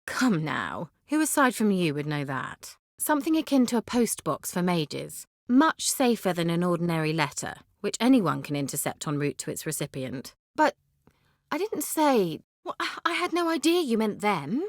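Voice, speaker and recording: An actress playing a self-assured, coy and haughty young sorceress in a video game, with articulate, teasing, theatrical delivery. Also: female voice, lowish voice, big pitch swings, moderate pace, no room sound, studio quality. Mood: defensive, arch. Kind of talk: video game character acting.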